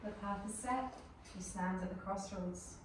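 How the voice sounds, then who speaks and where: A woman's voice: mid-range voice, a little slow, natural voice. A woman playing a solemn, portentous veiled goddess in a fantasy script table read. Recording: very echoey, far, noisy room.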